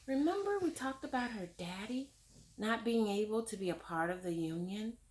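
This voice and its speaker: A woman's voice: mid-range voice, lively intonation, moderate pace, slight background noise. A woman reading a picture book aloud to children, then talking it over with them like a teacher and asking them questions. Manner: warm teacherly, asks questions to young listeners. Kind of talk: children's read-aloud with discussion.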